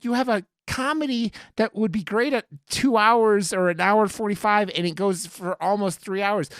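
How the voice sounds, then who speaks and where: Podcast host just chatting, mid pitch, swears a lot, rambles and gets emphatic, super dry audio. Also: a bit bright, no room sound. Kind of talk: casual chat, movie discussion.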